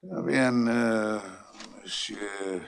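An actor playing a pedantic, obliging police inspector in a 1960s German radio mystery, clipped and sometimes flustered. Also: male voice, lowish voice, very slow, gravelly, full character voice. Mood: fussy, flustered. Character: fussy, obliging police inspector reporting from files.

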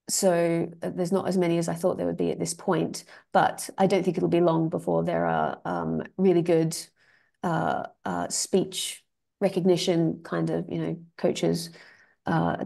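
A woman's voice: on the low side, gravelly, a little mellow. A woman giving a webinar presentation over a video call, talking with many ums and restarts. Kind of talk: academic webinar talk.

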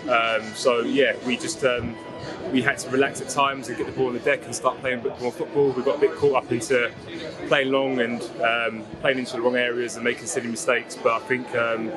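A young footballer, the team's vice captain, giving relaxed post-match answers outdoors at a football ground on a lapel mic. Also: male voice, medium pitch, brisk, music in the background. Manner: relaxed, fluent, filler-heavy answers.

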